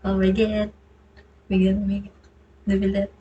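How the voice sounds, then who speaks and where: A woman's voice: medium pitch, monotone, normal speed, warm tone, dull audio. A woman streaming a game, reacting casually in short, slangy interjections. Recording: game music behind voice.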